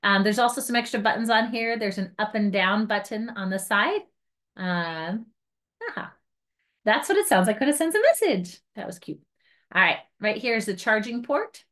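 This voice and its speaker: A woman trainer walks guides through equipment in an informal demonstration. Her voice sits at a middling pitch, and she explains each step in a casual, chatty, hands-on manner. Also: very animated, normal speed, fairly bright tone.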